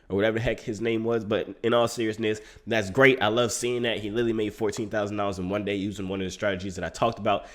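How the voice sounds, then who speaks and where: A young man with a stuffy, congested voice talking straight to his viewers in a casual, joking, hyped-up vlog style. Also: medium pitch. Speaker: crypto YouTuber.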